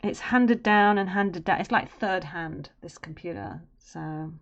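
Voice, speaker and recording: Woman, deep-ish voice, rambling stream chatter, muffled lo-fi audio. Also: unhurried. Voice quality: naturally low voice. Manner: chatty and rambling, drifting into murmurs and humming while she works. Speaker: streamer and artist. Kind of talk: casual live-stream chat while drawing.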